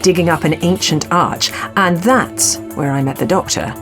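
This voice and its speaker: A woman's voice: on the low side, normal speed, over music, close to an everyday voice. A woman playing a wry archaeology professor narrating her own adventure in an audio drama. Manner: wry, storytelling. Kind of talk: dramatic first-person scripted narration.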